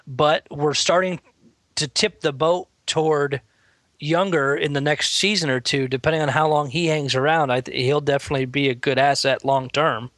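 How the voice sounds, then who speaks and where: Bright-voiced man in his thirties. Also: a little high, steady tone, dry recording. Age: 30s. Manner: measured, reflective longtime fan. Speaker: podcast co-host. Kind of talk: casual sports chat.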